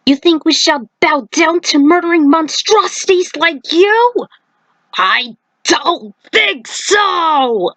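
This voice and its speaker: A brash male action hero, played by a woman reader, exclaims in a raised, high pitch. He is indignant and defiant, a storybook fighter facing monsters, heard in a dry sound. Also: expressive, slow, a bit bright, no room sound, heavily acted, poor audio.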